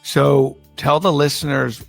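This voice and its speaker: A man hosting a podcast, asking his guest questions in a slow, deliberate way. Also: on the high side, flat delivery, vocal fry, faint music behind. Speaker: podcast host. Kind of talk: interview questions.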